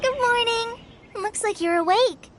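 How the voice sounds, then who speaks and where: An actress playing a sweet, cheerful young village girl in a video game, gentle, polite and earnest. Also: very high voice, measured pace, very noisy.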